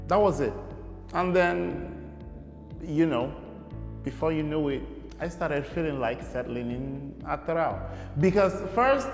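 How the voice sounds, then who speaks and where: A young Nigerian man, a guest in an interview, talking casually and rambling with many fillers. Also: mid-range voice. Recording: echoey room with long reverb.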